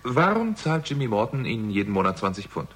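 A man's voice: on the low side, big pitch swings, brisk, husky, exaggerated voice. A man playing a dry, probing investigator in a German radio crime drama, speaking in a laconic, understated way. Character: dry, probing male theatrical agent turned investigator. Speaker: lead actor and narrator.

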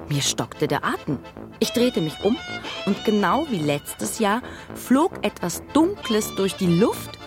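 A woman's voice: medium pitch, sing-song, slow, over music. A woman acting as the narrator of a radio play, telling a mystery from her childhood. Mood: suspenseful.

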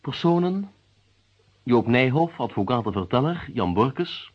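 A man formally and deliberately reading the closing credits of a radio play as its announcer. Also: mid-range voice, expressive, breathy edge. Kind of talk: formal scripted broadcast announcement. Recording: dull, muffled old radio recording.

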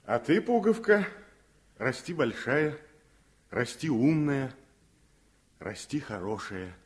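A man's voice: medium pitch, sing-song, slow pace. Composed, kindly male teacher. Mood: warm, calm. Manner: friendly, composed. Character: kindly, brave male revolutionary teacher, calm and warm-hearted. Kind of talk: Soviet radio play acting.

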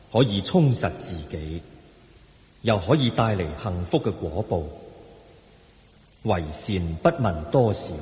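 A man acting the Buddha in a Cantonese radio drama, preaching in a measured, stately, benevolent manner with heavy reverb. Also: lowish voice, darkish tone. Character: serene, solemn male Buddha teaching followers. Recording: echoing long reverb on old radio recording.

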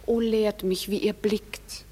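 A woman's voice: medium pitch, little pitch movement, slow, dark tone, slightly rough. An actress playing a lovelorn, envious young woman who declaims plaintive verse in a classical radio drama. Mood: envious, pleading. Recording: vintage radio play, long reverb, music behind.

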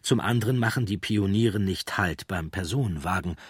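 A man reading scripted documentary narration with measured, storytelling delivery and a husky voice. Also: deep voice, no room sound, clean studio sound.

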